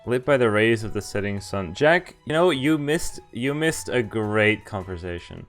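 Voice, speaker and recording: A man's voice: on the low side, animated, moderate pace, a bit bright. A man streaming a visual novel, reading its dialogue aloud and commenting casually. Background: game music.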